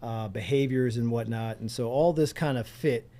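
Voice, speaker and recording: A man's voice: mid-range voice, normal speed, dark tone. A middle-aged or older man from Pennsylvania, speaking conversationally as an interview guest and community organizer in a studio. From Pennsylvania, United States. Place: radio studio.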